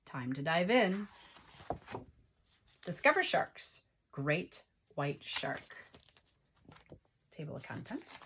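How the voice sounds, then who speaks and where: A woman's voice: sits low, a bit bright, a little hiss or hum. A woman teacher reading aloud and explaining to young children, instructing them with questions and prompts. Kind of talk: expressive read-aloud and lesson for young children.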